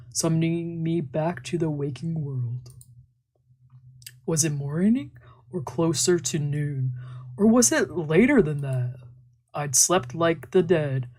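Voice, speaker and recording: A man streaming a visual novel, reading its narration and translating the dialogue in a laid-back, quiet way. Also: fairly high pitch. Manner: laid-back, quiet, drifting into murmured asides.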